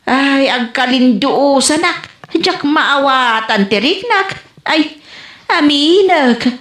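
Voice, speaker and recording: Stubborn Ilocano grandmother, querulous, high and very animated, echoey radio comedy. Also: slow pace, exaggerated voice. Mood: grumbling, stubborn. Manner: querulous, exclaiming, broken English. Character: stubborn, grumbling old rural Filipino grandmother. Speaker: actor. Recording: echoey room.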